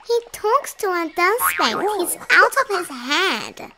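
A woman's voice: very high voice, very animated, slow pace, music in the background, pitched above the performer's own voice, exaggerated voice. A woman voicing a sour, scornful kangaroo in a children's story, with a jeering manner. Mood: mocking. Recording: clearly noisy recording.

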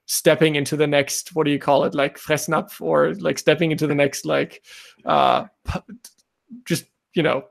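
A man's voice: fairly high pitch, creaky. A young man from Germany, a native German speaker, hosting a casual podcast chat over a remote call. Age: young adult. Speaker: podcast host. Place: indoor room, remote video call.

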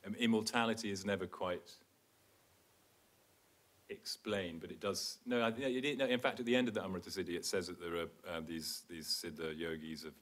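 Very deep-voiced, hesitant male scholar. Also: brisk, fairly bright tone. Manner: hesitant, with many fillers and self-corrections. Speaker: panel speaker, scholar. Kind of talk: academic panel discussion. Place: lecture theatre stage. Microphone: table microphone.

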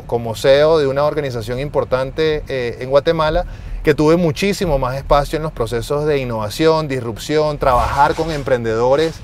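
A man in his forties with a slightly high voice speaks in a conversational, emphatic way, like an interview guest making his case. He is recorded inside a car, with faint traffic and engine noise behind him. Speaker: interview guest, financial executive. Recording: faint vehicle and street noise.

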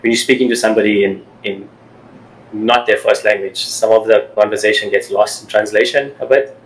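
A middle-aged man hosting an interview over a video call, asking halting questions with frequent restarts and supportive replies. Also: lowish voice, fairly even intonation, low-quality recording. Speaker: podcast host. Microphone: over-ear headphones, video call.

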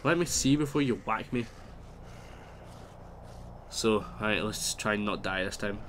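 A man's voice: on the low side, measured pace, fairly bright tone, slight background noise. A man with a stuffy nose giving rambling, chatty commentary while playing a video game, exclaiming at what happens. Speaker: let's play host. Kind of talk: casual gameplay commentary.

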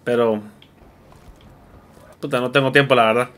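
A man's voice: medium pitch, fairly even intonation, normal speed, a bit bright. A young man streaming a shooter game and joking with friends over a headset, putting on a playful Argentine-style voice. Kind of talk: casual gaming chat with friends.

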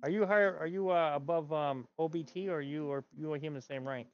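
A man's voice: on the high side, brisk, clear, resonant tone, muffled recording. A middle-aged man streaming a game from home, grumbling and talking to himself as he plays. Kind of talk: casual gameplay chat. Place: home gaming room, indoors.

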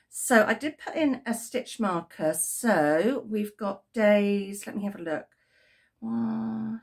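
A middle-aged British woman, croaky from a cold, chatting to camera in her home craft room about her crochet project, rambling with ums and corrections. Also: medium pitch. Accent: British English. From United Kingdom. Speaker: crochet vlogger. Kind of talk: casual chat to camera. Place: home craft room with yarn shelves, indoors. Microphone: webcam at desk, framed from the chest up.

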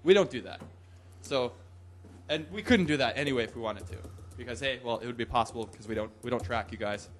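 A man's voice: mid-range voice, fast, slight background noise. A young man giving a loose, joking talk in a conference hall, with whoops and laughs, into a podium microphone. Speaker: conference presenter. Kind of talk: casual live tech talk.

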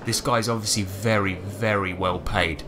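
A man's voice: on the low side, fairly even intonation, moderate pace, crowd noise. A man narrating a tabletop roleplaying game as its game master, setting scenes informally.

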